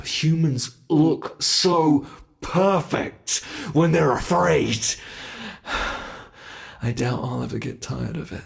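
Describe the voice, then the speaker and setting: A man's voice: mid-range voice, vocal fry, heavily acted. A man voicing an ancient, playful monster under the bed, with a British accent, teasing and menacing in a whispery ASMR roleplay.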